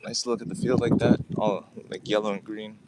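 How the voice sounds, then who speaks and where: A man's voice: lowish voice, flat delivery, a little fast. A man from Canada, chatting casually to viewers on a phone livestream while walking his dog outdoors, full of fillers. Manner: rambling, many fillers, chats with viewers. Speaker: livestream host. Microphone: phone camera held while walking. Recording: loud wind noise outdoors.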